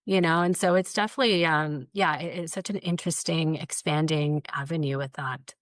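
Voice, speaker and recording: Woman moderator, slightly low voice, chatty with lots of fillers, dry close sound. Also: fairly even intonation, fairly quick, dry recording. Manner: chatty, uses many "like" and "you know" fillers. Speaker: panel moderator. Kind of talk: panel discussion.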